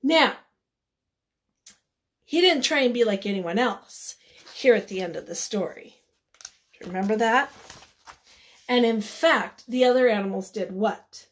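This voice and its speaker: A woman teacher leading a read-aloud lesson for young children, encouraging and instructive, pausing after simple questions. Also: on the high side, lively intonation. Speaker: elementary school teacher.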